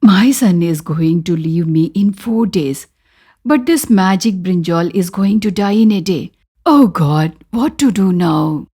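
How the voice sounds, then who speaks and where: An aging mother-in-law from an animated Indian moral tale, acted by a woman. She has an Indian accent and exclaims and complains in a very animated way, bitter but loving underneath. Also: fairly low pitch, a little slow, breathy edge, voice pushed down. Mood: anxious.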